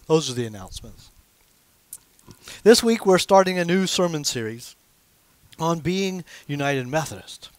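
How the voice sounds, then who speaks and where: A man's voice: on the high side, very animated, a little slow, long pauses, dry recording. Pastor in his sixties, easy conversational sermon at a small church pulpit, dry close sound. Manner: conversational, gesturing with his hands as he preaches. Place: small church sanctuary with stained glass windows.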